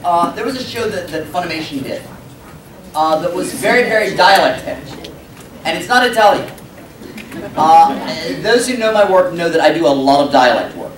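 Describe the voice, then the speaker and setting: Animated man storytelling in an echoey hall. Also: fairly high pitch, moderate pace. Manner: animated, acting out dialogue. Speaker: voice actor on convention panel. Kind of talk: comedic panel storytelling. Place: convention panel room with audience. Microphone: table microphone, recorded by far camera. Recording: roomy hall, distant mic, noisy crowd.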